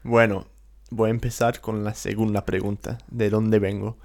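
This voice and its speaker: A man's voice: on the low side, little pitch movement, moderate pace, gravelly. A man from London with an English accent, a native English speaker chatting casually in learned Spanish, easygoing with hesitant fillers. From England, London. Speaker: co-host. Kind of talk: casual conversation.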